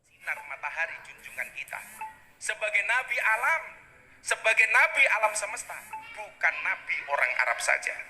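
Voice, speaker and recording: A man's voice: high voice, sing-song, normal speed, bright, forceful tone. A man with an Indonesian accent declaiming satirical verse in a theatrical, accusatory manner. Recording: played-back clip, reverberant, faint music.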